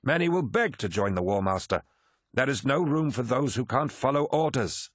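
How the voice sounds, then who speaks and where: Guy doing a harsh snarly warrior captain, blunt, pitched up from the narration, audiobook drama. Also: animated, moderate pace, no echo, studio quality, natural voice. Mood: blunt, ruthless. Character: harsh, uncompromising warrior captain, fiercely loyal. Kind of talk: dramatic audiobook character acting.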